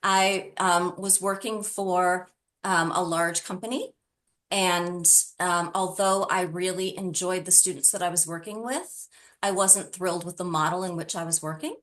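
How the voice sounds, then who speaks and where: A woman's voice: on the low side, fairly even intonation, a little slow, fairly bright tone. A middle-aged woman answering interview questions conversationally, in an earnest and emphatic way. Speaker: interview guest, tutoring business founder.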